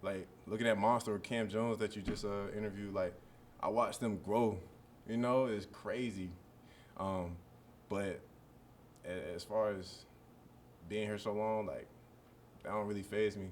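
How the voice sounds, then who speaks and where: A man in his early twenties, a college football player, answering press questions in a relaxed, rambling way full of fillers. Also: fairly low pitch, a little slow, long pauses, slight background noise. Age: early 20s.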